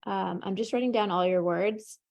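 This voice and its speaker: A woman coaching an emotional tapping session, calmly leading her client through short phrases to repeat, in an encouraging way. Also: mid-range voice, moderate pace, creaky. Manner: calm, encouraging, leads with short prompts.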